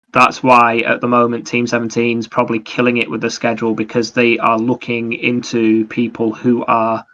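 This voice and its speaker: Male podcast host, bright edge to an average-pitched voice, casual and explanatory, dull microphone sound. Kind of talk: casual chat about video games.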